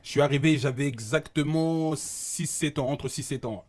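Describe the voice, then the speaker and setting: A young man from Congo with a Congolese accent, a fitness coach chatting casually as an interview guest, lively and laughing as he talks. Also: a little high, normal speed. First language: Lingala or Congolese language at home. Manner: animated, laughing, loud bursts.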